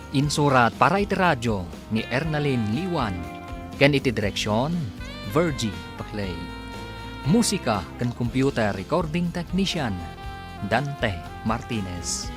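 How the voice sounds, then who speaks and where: Male Ilocano radio drama announcer over music. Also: medium pitch. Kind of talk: scripted narration.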